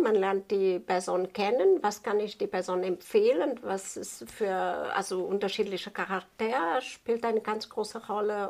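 A woman in her fifties with a Portuguese accent and a noticeably creaky voice. She explains her craft in an unscripted interview, speaking rather slowly and haltingly as a native Portuguese speaker searching for words. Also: medium pitch. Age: 50s. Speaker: tailor being interviewed.

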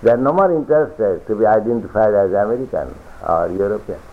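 A man giving a philosophical sermon as a spiritual teacher, lecturing deliberately, repeating phrases and reciting scripture. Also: lowish voice. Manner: deliberate, repetitive lecturing with chanted scripture quotes. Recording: tinny lo-fi archival recording.

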